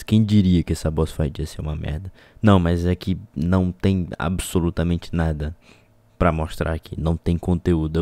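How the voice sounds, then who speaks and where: A man's voice: sits low, warm tone, dry recording. A Brazilian man giving casual, profane game commentary in an exasperated, complaining way. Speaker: gaming video host. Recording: music behind the voice.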